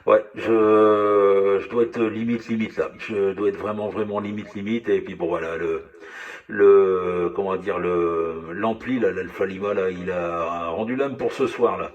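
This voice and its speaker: A man's voice: deep voice, fairly even intonation, measured pace, far from the mic, muffled recording. A man chatting casually over two-way radio as a hobby operator, speaking hesitantly, repeating himself and often apologetic.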